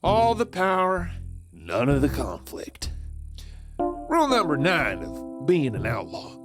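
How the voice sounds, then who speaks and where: A man's voice: on the high side, huge pitch swings, slow, over music, heavily acted. A man playing a cocky, sly outlaw in a Western audio drama, with a teasing, theatrical manner. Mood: sly, curious. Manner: theatrical, teasing, flirtatious swagger. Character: cocky, sly male Western outlaw, smooth-talking schemer.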